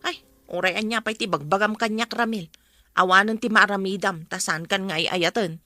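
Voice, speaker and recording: A woman's voice: mid-range voice, moderate pace, bright, forceful tone, no echo, soft background music, lightly acted. A woman with an Ilocano Filipino accent acting a weary, regretful mother in a radio drama, pleading and emotional. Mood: defiant, upset. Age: adult. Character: Cora, weary regretful mother who left her children.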